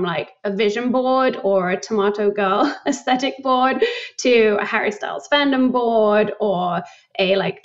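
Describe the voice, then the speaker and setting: A young woman from England, a company founder talking in a casual interview, thoughtful and quick to laugh. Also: medium pitch, dull audio. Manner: reflective, laughs often, many likes. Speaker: guest, startup founder.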